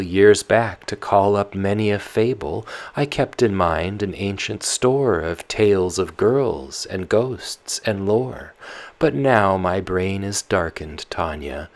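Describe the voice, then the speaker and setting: A man's voice: low-pitched, heavily acted. A man voicing a fussy, devout old peasant nurse woman who exclaims fretfully.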